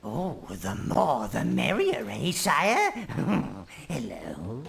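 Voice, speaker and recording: An actor voicing a sycophantic old goblin minion adviser in a video game, sardonic and theatrical, relishing every word. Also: male voice, mid-range voice, wildly swooping pitch, very slow, creaky, slight background noise, heavily acted. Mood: sly glee. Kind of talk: video game character acting. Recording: loud music behind.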